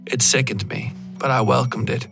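A man's voice: mid-range voice, steady tone, moderate pace, vocal fry, fairly bright tone. Guy narrating a horror story in first person, midrange voice, music underneath.

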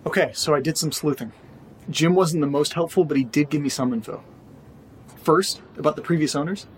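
A man's voice: on the high side, a little fast, natural voice. A man playing a curious, defensive young housemate in a naturalistic horror audio drama, speaking in a conversational, wry way. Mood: intrigued. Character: curious, defensive young male housemate investigating a creepy cellar. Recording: clear background noise, room ambience.

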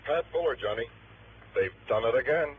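An actor playing an excitable male insurance colleague in a vintage radio drama. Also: medium pitch, fairly quick, close to an everyday voice. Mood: urgent, startled. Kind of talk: 1950s-60s radio drama acting. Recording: noisy vintage radio recording.

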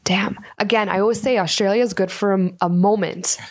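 A woman's voice: mid-range voice, steady tone, fairly quick. Young woman, native Polish speaker, blunt opinionated co-host for casual sports talk.

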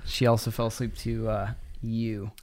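A man's voice: on the low side, flat delivery, moderate pace, darkish tone. A man co-hosting a podcast in casual chat. He gives earnest advice, full of filler words and restarts. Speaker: podcast co-host.

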